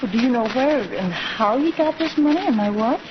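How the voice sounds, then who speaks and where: An actress playing an earnest, proper young schoolteacher in an old-time radio western, speaking with dramatic feeling. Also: female voice, fairly high pitch, a little fast, lightly acted. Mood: inquiring. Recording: muffled, noisy old radio recording.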